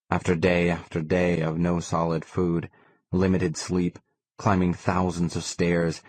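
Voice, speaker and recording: A man's voice: deep voice, a little mellow, dry recording. A man reading a first-person novel as an audiobook narrator.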